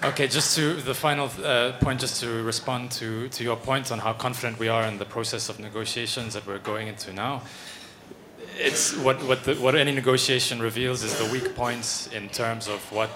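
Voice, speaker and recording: A man speaking for a medical aid group on a panel, answering questions in a measured, articulate way. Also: medium pitch, steady tone, a crowd in the background.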